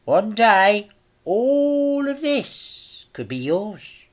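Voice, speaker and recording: A man's voice: high voice, sing-song, very slow, voice pushed up, exaggerated voice. A man voicing a nasty little crime boss in a children's storybook, self-important and taunting with a drawl. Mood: menacing.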